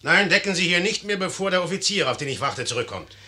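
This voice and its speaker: An actor in a 1950s German radio comedy playing an imperious, cynical young general, curt, commanding and sardonic. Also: male voice, high-pitched, lively intonation, fast talker, pushed and bright, heavily acted. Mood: brusque.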